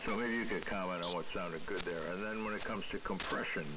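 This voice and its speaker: A man chatting casually as a ham radio operator over an AM shortwave transmission, halting and thinking aloud. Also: sits low. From United States. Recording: very noisy AM radio, distant sound.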